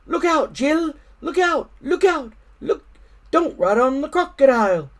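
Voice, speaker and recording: A man's voice: sing-song, a little slow, full character voice. A man voicing a small, alarmed storybook bird, calling out warnings in urgent, singing cries. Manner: high, urgent, sing-out calls.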